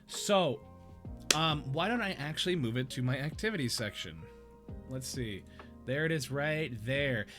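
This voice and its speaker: A man's voice: mid-range voice, expressive, measured pace, music in the background. A young man hosting a casual livestream, rambling and self-deprecating in an upbeat way. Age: young adult. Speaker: stream host.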